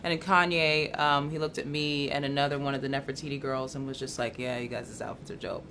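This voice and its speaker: A woman's voice: extremely low pitch, a little fast, slight background noise. A young woman, a model and musician, chatting as a guest in a casual interview and laughing easily. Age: young adult. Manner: relaxed, laughs easily, animated storytelling.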